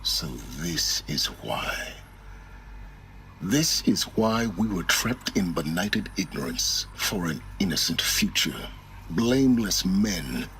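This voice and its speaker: A man's voice: low-pitched, lively intonation, slow, a bit bright, a little hiss or hum, heavily acted, poor audio. An actor playing a bitter, sardonic male scholar in a video game, eloquent and dryly cutting. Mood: bitter, brooding. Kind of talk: dramatic video game acting.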